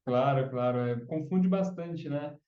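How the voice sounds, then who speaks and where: A man's voice: medium pitch, unhurried, distant mic. A man who is a podcast guest, explaining chatbots patiently in casual interview talk and often ending with tag questions. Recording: dull, roomy sound from off mic.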